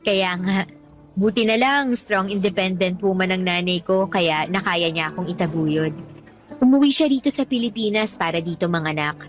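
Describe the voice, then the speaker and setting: A woman's voice: fairly low pitch, lightly acted. A woman with a Filipino accent playing a friendly, candid young woman on a first date in a radio drama, chatty and open. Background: music.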